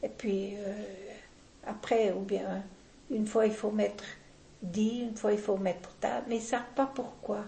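Older lady, super gravelly, keeps restarting sentences, roomy echo. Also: medium pitch, fairly even intonation, warm tone, slight background noise. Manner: deliberate, opinionated, frequent restarts. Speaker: interviewee. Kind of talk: casual reminiscing interview.

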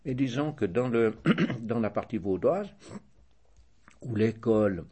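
An older man with a Swiss French accent answering interview questions in a measured, hesitant way, often restarting and correcting himself. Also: medium pitch, animated, mellow, breathy edge.

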